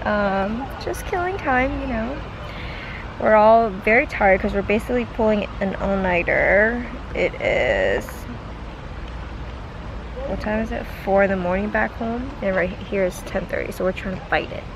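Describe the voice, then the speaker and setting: A woman's voice: mid-range voice, expressive, measured pace, noisy background. A young woman talking casually and upbeat to a handheld camera while traveling, laughing often. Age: young adult. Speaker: travel vlogger. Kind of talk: casual vlog narration. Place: airport, car, streets and bars. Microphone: handheld camera at arm's length. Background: music and crowd.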